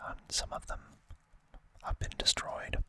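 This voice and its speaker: Slow, breathy whispering man. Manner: hushed, unhurried, deliberate. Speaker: ASMR host. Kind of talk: whispered ASMR unboxing talk.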